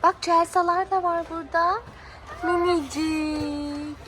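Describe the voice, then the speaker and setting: A woman's voice: very high-pitched, fairly even intonation, slow. A woman talking as a doting mother to her small child, in casual child-directed chat full of prompting questions. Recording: clearly noisy background.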